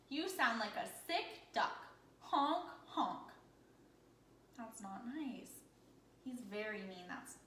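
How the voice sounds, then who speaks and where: A woman reading a children's story aloud as a teacher, in an expressive, encouraging manner with questions for the listener. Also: mid-range voice. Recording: echoey room with faint noise.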